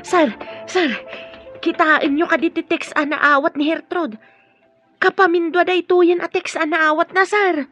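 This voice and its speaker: A woman's voice: very high-pitched, steady tone, a little slow, fairly bright tone, soft background music. An actress playing a concerned, insistent woman of the household in a radio drama, urgent in manner. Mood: urgent, alarmed.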